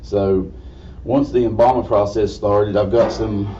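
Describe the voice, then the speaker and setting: A man with a slightly raspy voice gives an informal history lecture in a folksy, halting way. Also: lowish voice, fairly even intonation, warm tone. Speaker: guest lecturer. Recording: distant mic, dull muffled sound, faint noise.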